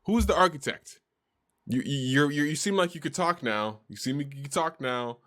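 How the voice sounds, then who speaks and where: A man live streaming and chatting excitedly, often repeating words in quick bursts. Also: a little high. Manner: excitable, repeats himself rapidly.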